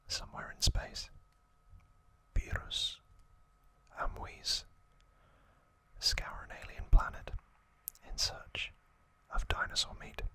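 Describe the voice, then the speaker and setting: A man hosting an ASMR video, narrating a recap in a soft, breathy, unvoiced whisper, in short phrases with pauses. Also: poor audio.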